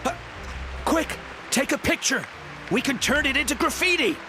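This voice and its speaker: A man playing an enthusiastic young townsman in a video game, with a showy, energetic delivery. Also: very high voice, normal speed, music in the background. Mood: excited.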